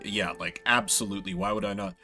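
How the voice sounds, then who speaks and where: A man's voice: on the low side, fast talker, pushed and bright, soft background music. A man giving casual, sarcastic commentary as he streams a video game. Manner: wry, sarcastic.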